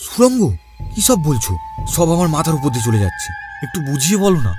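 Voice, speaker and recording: A man voicing an anxious, inquisitive young village man in a horror cartoon, with an excitable questioning manner. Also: on the high side, quick pace, soft background music. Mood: alarmed. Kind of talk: dramatized cartoon dialogue.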